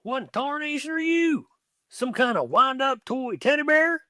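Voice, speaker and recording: A man voicing a mean, teasing old mountain lion in a storybook, with a loud, folksy rural Southern US drawl. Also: extremely high pitch, animated, normal speed, no echo, studio quality, pitched above the performer's own voice, heavily acted. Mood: mocking.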